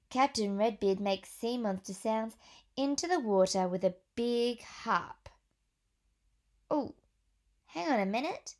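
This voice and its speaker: A woman teacher reading a picture book aloud to young children, pausing for questions and gentle whispered asides. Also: on the high side.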